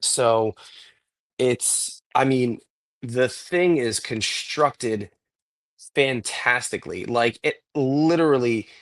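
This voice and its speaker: A man co-hosting a podcast, a little hoarse and congested from a cold, rambling enthusiastically in casual chat with lots of fillers. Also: mid-range voice, slow pace. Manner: rambling, enthusiastic cigar talk with many fillers. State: getting over a cold, a bit hoarse and congested. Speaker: podcast co-host.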